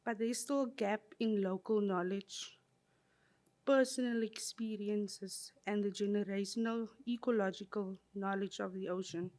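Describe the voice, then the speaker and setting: A woman giving a prepared conference presentation in a measured, reflective way. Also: medium pitch, steady tone, a little mellow.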